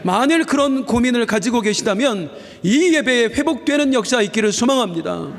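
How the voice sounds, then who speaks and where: High-voiced pastor preaching in an echoing hall. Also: male voice, expressive. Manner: earnest preaching, slowing down for emphasis and rising on key phrases. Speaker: senior pastor. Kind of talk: Sunday sermon. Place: church sanctuary. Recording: reverberant church hall.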